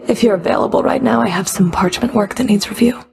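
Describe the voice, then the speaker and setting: A woman's voice: mid-range voice, fast talker, gravelly, music in the background, close to an everyday voice. A woman playing a stern, sardonic underworld fury in a video game, speaking in a cool, clipped, dry and commanding manner. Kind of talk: video game character voice lines.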